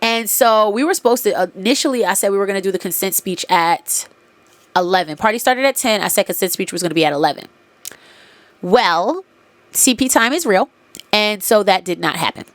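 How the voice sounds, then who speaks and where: Congested woman podcaster, swears a lot, animated storytelling, dead dry sound. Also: medium pitch, fairly quick, dry recording. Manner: candid, profane, animated retelling with quoted dialogue. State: congested nose. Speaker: podcast host. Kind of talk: casual storytelling monologue.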